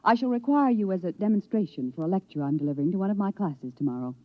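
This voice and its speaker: A woman's voice: medium pitch, expressive, fast talker, no room sound, lightly acted. An actress playing a cold, controlled woman professor in 1950s radio drama, with clipped, clinical and formal diction. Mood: cool, clinical. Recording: muffled old radio recording.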